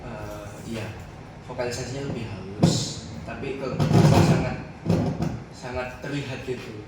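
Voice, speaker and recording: A man's voice: mid-range voice, unhurried. A teenage boy answering podcast questions in a casual, hesitant way, with frequent restarts and short laughs. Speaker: podcast guest. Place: school room. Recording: echoey room, distant mic, noisy.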